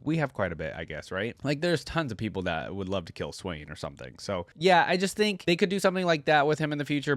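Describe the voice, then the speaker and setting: A man's voice: medium pitch, dry recording. A man giving casual, rambling commentary as a reaction video host, conversational and unscripted. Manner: rambling, conversational, often adds "like" and "right?".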